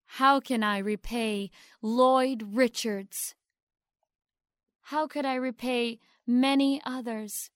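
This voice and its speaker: A woman playing a poised young stage actress who speaks with formal, sweetly humble gratitude and deliberate pauses in an audio drama. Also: a little high, steady tone, no echo, studio quality. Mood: grateful. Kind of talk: theatrical award acceptance speech in audio drama.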